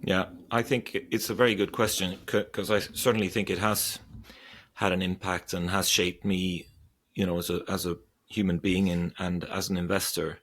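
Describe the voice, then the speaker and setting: A man's voice: sits low, level tone, normal speed, a bit bright. A man in his forties from Sweden, a guest investor answering questions thoughtfully, with frequent hesitations and restarts. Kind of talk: interview.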